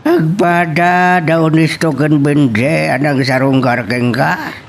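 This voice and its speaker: A man's voice: a little high, steady tone, slow, a little hiss or hum. A man playing a caring, fussing father of a grown daughter in an audio drama. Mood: concerned.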